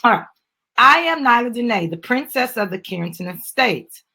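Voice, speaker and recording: A middle-aged woman with a slightly husky voice, reading a children's book aloud and chatting with a child and audience. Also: fairly high pitch, animated, moderate pace, pushed and bright, slight background noise. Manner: addresses the child and audience, prompting with questions. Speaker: mother reading a children's book with her daughter. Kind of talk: dramatic storybook reading with casual asides.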